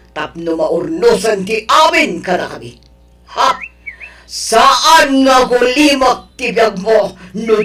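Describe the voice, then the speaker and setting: A woman acting an angry, menacing rival in an audio drama, confronting another with drawn-out, shouted threats. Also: fairly high pitch, heavily acted. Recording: roomy echo, distant from the mic.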